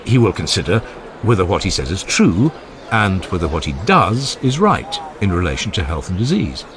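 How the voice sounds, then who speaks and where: Deep-voiced man, expressive formal audiobook narrator. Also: normal speed, crowd noise. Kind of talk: dramatized scripted reading of a classical philosophical dialogue.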